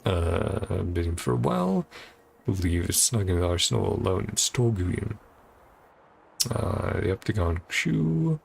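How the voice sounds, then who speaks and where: Gravelly man playing through a game. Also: low-pitched, big pitch swings, measured pace. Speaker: streamer playing and reacting to a game. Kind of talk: reading game dialogue aloud with casual commentary. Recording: game music behind the voice. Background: game music.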